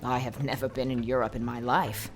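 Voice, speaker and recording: A woman's voice: a bass voice, level tone, fairly quick, soft background music, pitched below the performer's own voice, poor audio. A woman voicing a grim, blunt old lady of seventy in an audiobook, with stern, emphatic, old-fashioned pronouncements. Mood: grave, frank. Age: older. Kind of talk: audiobook character voice.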